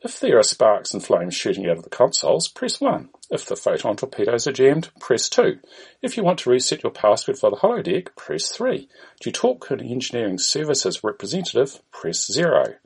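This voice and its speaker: A man's voice: medium pitch, sing-song, normal speed, gravelly, husky, full character voice. A man voicing a polite automated phone menu with a scripted, announcement-like delivery. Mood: bland, polite.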